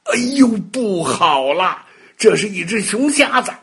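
Man, children's storyteller, very husky voice, swooping lively intonation, unhurried, dramatic delivery. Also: high-pitched. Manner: dramatic, expressive, with exclamations and sound words. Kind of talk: animated bedtime storytelling for children.

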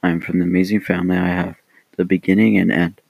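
A teenage boy reading his own poem aloud in a flat, even way. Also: low-pitched, quick pace, creaky, no echo, low-quality recording. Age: teens. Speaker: student.

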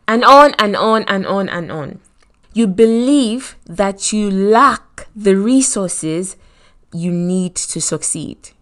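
Nigerian woman, host of a faith podcast, earnest motivational delivery, mid-pitched. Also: animated, slow, a bit bright. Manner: earnest, preacherly, acts out quoted voices.

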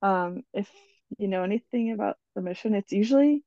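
A young woman who grew up in New Jersey, co-hosting a podcast and explaining things in a friendly, relaxed way over slightly muffled audio. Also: medium pitch, a little fast, no echo. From born in Ecuador, raised in New Jersey. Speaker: podcast co-host. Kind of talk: casual chat.

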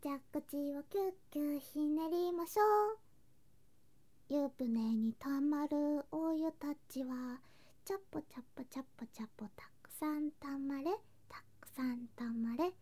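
An affectionate younger girl cousin, played by a woman, speaks in a high, sweet voice at an unhurried pace. She is playful and coaxing, softening into whispers as in an ASMR situation drama. The sound is dry and close. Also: dry recording. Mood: playful, murmuring. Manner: soft, sweet, coaxing, drifting into whispers and singsong. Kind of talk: ASMR situation voice drama, intimate roleplay to listener.

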